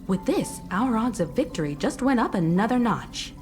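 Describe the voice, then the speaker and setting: An eager young heroine from a video game, openly expressive. Her voice is on the high side and leaps about dramatically in pitch, with faint music underneath. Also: moderate pace. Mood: surprised, hopeful. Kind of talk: video game dialogue acting.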